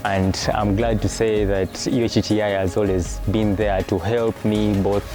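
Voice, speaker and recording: Mellow low-voiced young man. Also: level tone, unhurried. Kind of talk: interview testimonial. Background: faint music.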